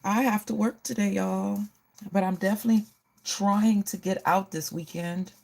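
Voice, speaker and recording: A woman's voice: medium pitch, steady tone, moderate pace, vocal fry, a little mellow. A middle-aged woman hosting a casual get-ready livestream, chatting to viewers and often repeating her phrases.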